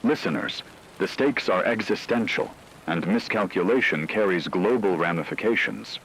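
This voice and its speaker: A man playing a deep-voiced, grave war correspondent. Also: normal speed, fairly bright tone, a little hiss or hum, low-quality recording. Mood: grave, urgent. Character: grave male radio war correspondent in a crisis broadcast. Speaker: radio news correspondent. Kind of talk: scripted fictional emergency news broadcast.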